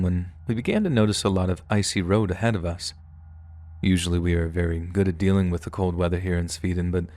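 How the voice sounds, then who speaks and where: A man with a low, deep voice reads scary stories in the first person. His delivery is steady and measured, like a practiced storyteller working through a script. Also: no echo. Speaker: horror story narrator.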